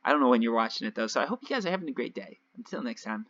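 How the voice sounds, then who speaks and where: A young man casually commentating his gameplay nonstop, his voice a little raspy from long talking. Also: medium pitch, expressive, rapid-fire, a bit bright. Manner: chatty, rambling, nonstop talk with fillers. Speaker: let's play host. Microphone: home desk mic. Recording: dull lo-fi home mic.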